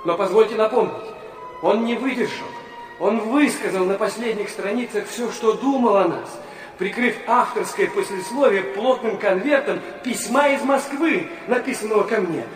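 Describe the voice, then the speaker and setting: A man's voice: high voice, normal speed, soft background music, natural voice. An actor playing an eager, earnest young man in a literary radio drama. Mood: insistent. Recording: roomy echo, distant from microphone.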